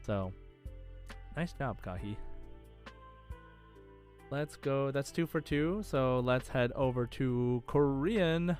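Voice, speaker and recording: A man's voice: mid-range voice, slow pace, pauses often, darkish tone, soft background music. A man hosting a podcast quiz in casual chat, rambling and hesitant, leaning often on the word "like". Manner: hesitant, rambling, heavy use of "like". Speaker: podcast host, quizmaster.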